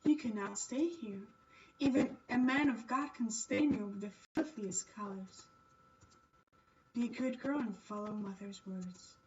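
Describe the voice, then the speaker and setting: A woman's voice: mid-range voice, measured pace, far from the mic, highs cut off, pitched below the performer's own voice, lightly acted. A woman voicing a stern, pitying mother from a visual novel, grave and admonishing. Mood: stern warning. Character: stern, pitying, domineering mother of a young girl. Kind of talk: reading visual novel dialogue.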